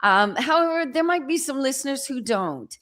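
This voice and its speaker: A woman's voice: high-pitched, lively intonation, normal speed, a bit bright. A woman from the American Midwest with a Midwestern accent touched by Dutch, hosting an upbeat livestream interview over a video call. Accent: Midwestern US with a slight Dutch accent. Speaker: host.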